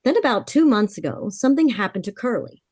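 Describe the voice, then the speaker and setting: A woman's voice: on the high side, sing-song, fairly quick, darkish tone, dry recording, clean studio sound. A woman playing a worried, frank young heiress of twenty-four in a vintage-style radio drama, confiding her story earnestly. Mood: grave, worried.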